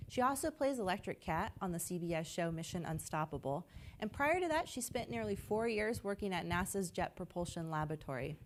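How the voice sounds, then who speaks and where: A woman's voice: lowish voice, lively intonation, fairly quick, a little hiss or hum. Upbeat female launch broadcast host. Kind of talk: scripted live broadcast commentary.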